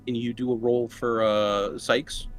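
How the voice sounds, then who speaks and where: A man's voice: mid-range voice, flat delivery, unhurried. A man playing a tabletop roleplaying game, bantering casually in a joking, slangy way. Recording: clear music behind the voice. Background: background music.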